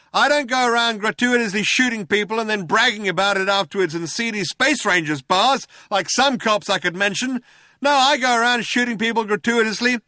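A man voicing a shouting, trigger-happy cop who earnestly insists on how sensitive he is, in a comic science fiction audiobook. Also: very high-pitched, no echo, pitched above the performer's own voice. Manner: shouting, earnest, self-justifying. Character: loud-hailer cop, shouting, self-consciously sensitive, trigger-happy tough guy.

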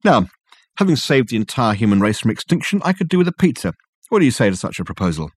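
A man voicing a flamboyant, wordy gentleman who talks grandly and at length. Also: mid-range voice, big pitch swings, fast, slightly rough, no echo. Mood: triumphant. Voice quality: pompous, wordy, effusive. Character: flamboyant verbose man, pompous and triumphant.

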